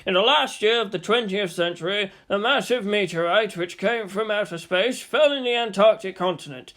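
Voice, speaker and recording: Man acting a measured, declamatory schoolteacher. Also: medium pitch, a bit bright, lightly acted. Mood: solemn lecturing. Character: formal schoolteacher lecturing on catastrophic history.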